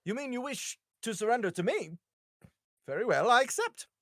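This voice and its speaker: A woman's voice: fairly high pitch, expressive, normal speed, no room sound, clean studio sound. A woman playing a cocky, defiant adventurer hero in a live table read.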